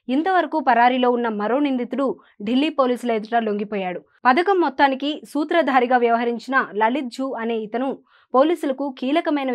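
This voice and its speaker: A woman, reading scripted news as an anchor in a formal, projected delivery. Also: a little high.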